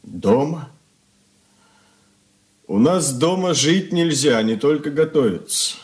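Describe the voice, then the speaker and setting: An actor playing a troubled, ashamed young son in an earnest radio drama confession. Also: male voice, a little high, animated, slow pace, darkish tone. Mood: ashamed, pleading. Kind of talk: Soviet radio play acting.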